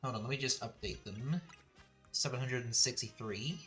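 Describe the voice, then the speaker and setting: A young man talks casually over a live game stream, rambling and laughing at himself. His voice is bright and forward, sitting at an ordinary middle pitch. Faint music plays underneath. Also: moderate pace. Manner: rambling, self-deprecating, laughs often.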